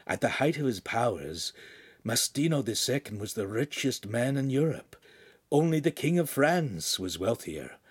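Man playing a hushed, sly guide. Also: fairly low pitch, husky, heavily acted. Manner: hushed, knowing, instructive. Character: sly, whispering guide coaching teenagers, dryly scheming.

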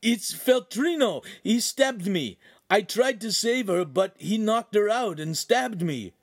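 A man voicing a frightened, naive young peasant squire in an audiobook, pleading and breathless with rough, uneducated speech. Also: high voice, quick pace, no room sound, studio quality, pitched above the performer's own voice. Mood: frantic babbling. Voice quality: strained, breathless.

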